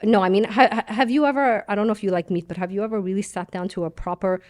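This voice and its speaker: Lively, joking woman guest from Iran. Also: medium pitch. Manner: animated, joking, quick asides. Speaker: guest journalist. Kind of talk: casual podcast conversation.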